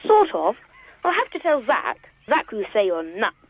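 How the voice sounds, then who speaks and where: A blunt young daughter on the phone. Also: female voice, a little high, wildly swooping pitch, normal speed, breathy edge, heavily acted. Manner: blunt, matter-of-fact. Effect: phone line. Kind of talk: radio drama acting. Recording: tinny phone line.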